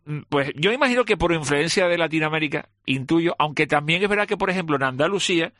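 A man's voice: on the high side, expressive, fast, fairly bright tone, no echo, studio quality. A man with a Canarian Spanish accent hosting a live radio segment, chatting with a guest and listeners. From Canary Islands, Spain. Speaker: radio host.

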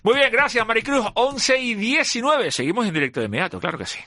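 A man's voice: very high voice, very animated, fast talker, sharp tone. A man with a Canarian Spanish accent hosting a live radio segment, chatting with a guest and listeners. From Canary Islands, Spain. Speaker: radio host.

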